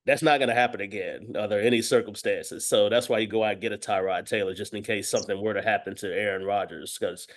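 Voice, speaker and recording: A man's voice: lowish voice, fairly even intonation, fast talker, few pauses. A man talking as a guest sports analyst, giving conversational football commentary sprinkled with verbal tics like "you know".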